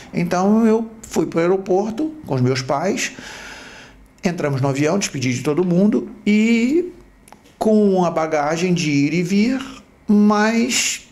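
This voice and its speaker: Gravelly Brazilian man telling his story. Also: a little high, a little mellow. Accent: Brazilian Portuguese, from Rio. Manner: reflective, halting with repeated restarts. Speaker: podcast host. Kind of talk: personal storytelling.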